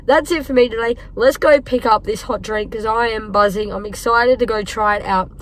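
A croaky-voiced Australian woman talks to her followers from the driver's seat, with a fairly high voice. She is chatty and upbeat and fills her talk with ums and uhs. It sounds like a phone held at arm's length in a parked car. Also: moderate pace. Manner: chatty, upbeat, many fillers. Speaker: vlogger. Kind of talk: casual vlog talk to camera.

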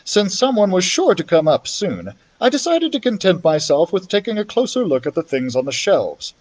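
A man's voice: sits high, very animated, moderate pace, darkish tone. A man reading a children's story aloud expressively, as a library storyteller would.

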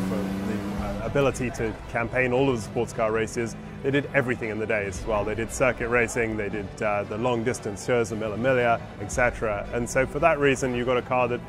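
Male auction expert, mid-pitched, discursive interview explanations, rephrasing as he goes, clear music bed. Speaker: auction house car specialist. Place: large tent with cars on display.

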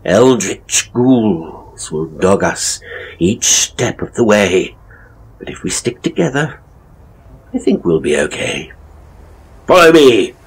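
A man's voice: lowish voice, sing-song, takes it slowly, pauses often, breathy edge, full character voice. A man playing a hapless, seedy ghost tour guide in an audio horror story, with a British accent and a pompous, florid, leering manner. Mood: theatrical, ominous.

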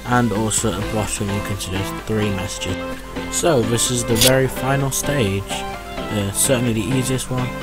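Man, slightly low pitch, casual tutorial delivery, explanatory, reassuring, clear background music. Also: fairly even intonation, normal speed, hardly any pauses. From United Kingdom. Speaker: tech tutorial host. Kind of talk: casual step-by-step screen tutorial.

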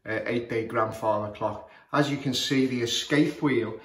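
A man's voice: mid-range voice, normal speed, fairly bright tone. A man with a Liverpool accent, a clock repairer, talking casually to camera about a clock, hesitant with many fillers and restarts. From Liverpool, England. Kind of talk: casual show-and-tell commentary. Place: room full of clocks, indoors. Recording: echoey room with faint noise.

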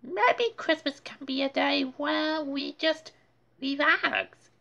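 A woman's voice: high-pitched, slow, vocal fry, bright, forceful tone, lo-fi sound, voice pushed up, exaggerated voice. A woman voicing an earnest young boy rabbit from a storybook, pleading his case. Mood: hopeful.

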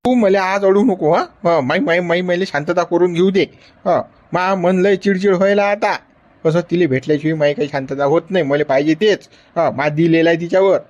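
A man's voice: high voice, a little fast, a little mellow, muffled recording, close to an everyday voice. An actor playing a stubborn, lovesick old grandfather who whines and insists in a Varhadi Marathi accent. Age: older. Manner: whiny, insistent pleading. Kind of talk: comedy sketch acting.

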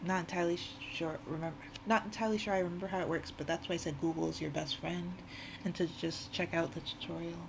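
A woman's voice: fairly low pitch, fairly even intonation, quick pace, vocal fry, background noise. A woman hosting a casual, off-the-cuff tutorial in a chatty, informal, rambling way. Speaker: tutorial host.

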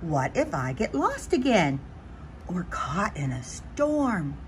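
A woman's voice: on the low side, very animated, takes it slowly, a little hiss or hum. A woman voicing an anxious, dramatic little male butterfly in a children's picture book, whiny and theatrical. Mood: panicked, pleading. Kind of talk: animated children's picture-book read-aloud.

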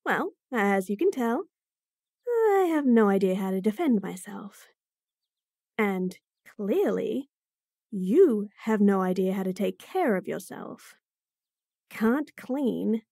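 A woman's voice: medium pitch, lively intonation, slow, darkish tone, no echo, clean studio sound. A woman voicing a bratty, sassy bunny-girl chef in a fantasy roleplay audio, teasing and talking straight to the listener. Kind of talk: F4M roleplay audio, acted monologue.

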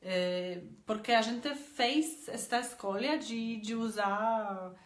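A woman's voice: a little high, takes it slowly, roomy sound. A woman in her thirties from Poland, speaking with a Polish accent and teaching languages, talking in a casual vlog with many hesitant "eh" fillers and repeated words, filmed on a phone in a small indoor room.

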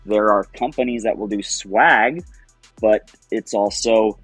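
Thirties man, interview guest on a webcam, chatty and upbeat, low voice, dry and close. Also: measured pace, a bit bright, breathy edge, dry recording, soft background music. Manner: rambling, upbeat, laughs often. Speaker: guest, project founder. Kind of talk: casual interview over video call. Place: home, by a window. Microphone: webcam on video call.

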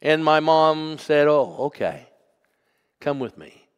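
A man preaching a sermon as pastor, telling a personal story and moving from loud to hushed. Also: a little high, sing-song, slow pace, smooth, in a large hall, lo-fi sound. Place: church sanctuary.

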